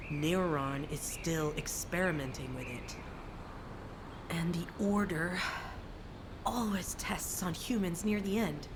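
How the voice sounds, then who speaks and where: A grim, stubborn soldier in a video game, pitched on the low side. She speaks tersely and broodingly, as if reasoning through a grave problem by herself. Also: slightly rough. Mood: tense, pondering. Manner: terse, brooding, thinking aloud. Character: driven female soldier investigating a toxin, stubborn and grim. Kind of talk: dramatic video game voice acting.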